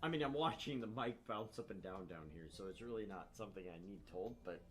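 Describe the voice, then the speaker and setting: A man's voice: on the low side, lively intonation, fairly quick. A man hosting a gaming channel, joking and swearing casually and reading visual novel text aloud. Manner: joking, profane. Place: room at home, not soundproofed. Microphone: shared open microphone. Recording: open-mic room noise, music behind. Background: music.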